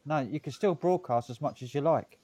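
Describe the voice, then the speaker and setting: A man hosting a stream, chatting casually in a relaxed, matter-of-fact way. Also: a little high, a little fast, crowd noise. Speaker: stream host. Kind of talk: casual chat.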